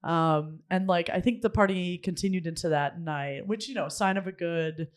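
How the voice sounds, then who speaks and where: Female co-host, low voice, chatty rambling storyteller. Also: normal speed. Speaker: podcast co-host. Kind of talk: casual chat.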